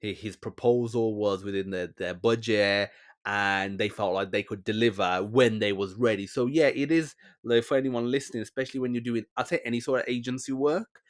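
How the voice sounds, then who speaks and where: A man's voice: on the low side, steady tone, brisk. A man hosting a podcast interview, giving advice in a loose, rambling way with lots of restarts. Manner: rambling, many restarts and "you know". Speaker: podcast host. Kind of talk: casual interview chat.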